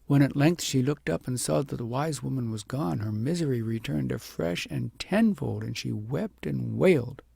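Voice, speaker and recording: A man narrates a storybook in a very gravelly, raspy voice. He phrases deliberately and leans on words for dramatic effect. The sound is dry, with little room in it. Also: medium pitch, lively intonation, mellow, no echo. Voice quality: gravelly, husky. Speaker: audiobook narrator. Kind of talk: expressive scripted storybook narration.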